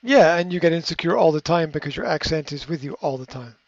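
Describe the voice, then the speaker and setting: A man giving unscripted coaching advice in a reflective way, often pausing with fillers and restarting his sentences. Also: a little high, expressive, vocal fry, no room sound. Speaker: coach answering a question. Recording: dry, slightly muffled recording.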